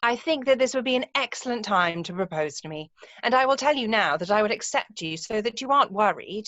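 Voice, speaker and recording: A woman's voice: mid-range voice, expressive, brisk, fairly bright tone, dry recording, lightly acted. A woman playing a self-assured, romantic young upper-class lady in an amateur play read-through, speaking precisely and emphatically over a video call. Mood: coyly insistent. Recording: muffled, dull video-call audio.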